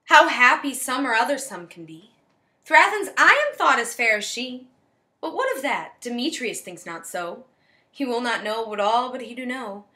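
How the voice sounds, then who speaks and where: A woman playing a lovesick, wounded young woman in a measured, declamatory Shakespearean stage monologue. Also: on the high side, sing-song, sharp tone. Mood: bitter, hurt. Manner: declamatory, measured verse delivery. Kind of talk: theatrical Shakespearean verse monologue.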